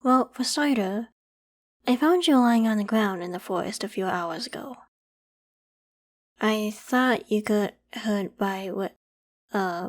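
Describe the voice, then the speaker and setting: A woman voicing a polite, protective young female werewolf with a secret, in a gentle, reassuring ASMR roleplay. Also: mid-range voice, lightly acted. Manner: gentle, reassuring, hesitant when evasive. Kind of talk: ASMR roleplay, acted one-sided conversation.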